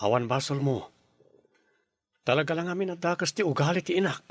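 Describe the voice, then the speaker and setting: A man's voice: fairly high pitch, brisk, dry recording, natural voice. A man playing an earnest, frustrated young son in a radio drama, pleading for his sweetheart with a Filipino accent. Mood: soothing, troubled. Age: young adult. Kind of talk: Ilocano radio drama acting.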